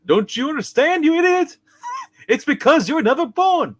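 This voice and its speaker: Squeaky, gleeful guardian angel. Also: male voice, very animated, moderate pace. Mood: scolding. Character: chirpy, scolding male guardian angel, high-voiced and gleeful. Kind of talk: hammed-up amateur puppet-show play reading.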